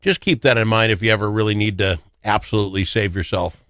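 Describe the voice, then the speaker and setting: Fast-talking bright-voiced male radio host. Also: on the low side, clean tone, no echo. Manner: joking, teasing. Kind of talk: casual radio chat.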